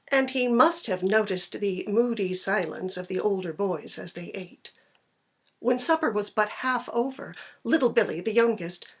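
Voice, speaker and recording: Woman narrator with a slightly husky voice. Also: medium pitch. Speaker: narrator reading a short story. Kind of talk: scripted storytelling narration.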